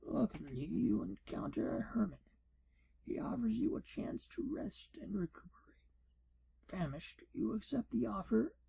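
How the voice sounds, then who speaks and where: Wizard exam proctor, played by a man: pompous and formal, very soft dark husky whisper, heavy creak, for ASMR role-play. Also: sits high, full character voice, poor audio. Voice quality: hushed, breathy, husky. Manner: formal, deliberate, theatrical.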